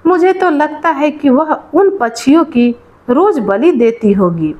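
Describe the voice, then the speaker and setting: A suspicious village gossip , played by a woman storyteller, confides her guesses in a storybook tale. The voice sits fairly high and moves about with lively pitch. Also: measured pace, muffled recording. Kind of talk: storybook dialogue.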